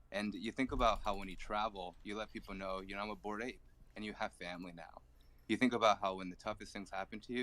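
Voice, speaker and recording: Earnest low-voiced man from El Salvador. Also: fairly bright tone, slight background noise. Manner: earnest, heartfelt testimony. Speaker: community member. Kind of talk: live audio chat.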